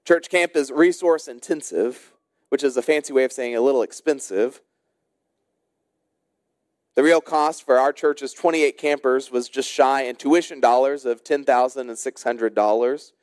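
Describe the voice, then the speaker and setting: An American man, a pastor, delivering a sermon in deliberate short phrases with rhetorical repetition. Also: mid-range voice, steady tone, a little mellow, no echo, studio quality. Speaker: senior pastor.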